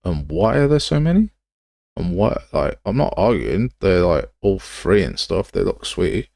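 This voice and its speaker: A man's voice: low-pitched, big pitch swings, measured pace, vocal fry, a little mellow, no echo, studio quality. A man hosting a casual livestream, grumbling and thinking aloud as he goes. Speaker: livestream host. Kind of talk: casual livestream commentary while browsing software assets.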